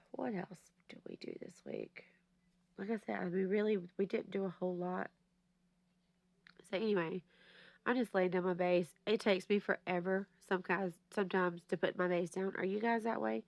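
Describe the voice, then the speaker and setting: A woman's voice: mid-range voice, no echo. A woman, chatting and rambling to her viewers while she plans, her voice a little nasal and stuffy from allergies. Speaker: planner vlogger. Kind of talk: casual rambling chat to camera.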